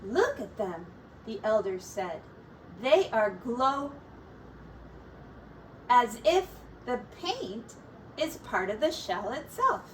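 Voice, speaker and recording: A woman with a high voice reads a children's story aloud like a teacher. She takes her time, shaping the phrases expressively and deliberately for small listeners. The recording is a little distant from the microphone, with faint noise behind her. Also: sing-song.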